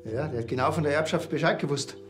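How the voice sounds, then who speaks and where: A man's voice: a little high, fast, soft background music, natural voice. A man playing a sardonic, plain-spoken policeman in a TV crime drama.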